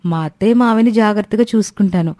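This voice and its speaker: A woman's voice: mid-range voice, quick pace, warm tone, natural voice. Woman playing a greedy, gushing young daughter-in-law. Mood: greedy surprise. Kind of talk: Telugu moral cartoon story voice acting.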